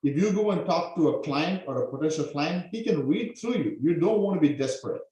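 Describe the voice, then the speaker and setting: A man from Sri Lanka teaches a live online class, full of energy and constantly checking in with "okay?". His voice sits a little high for a man. The sound bounces hard around a very echoey room. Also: brisk. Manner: energetic, peppers talk with "okay?". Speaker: online business coach.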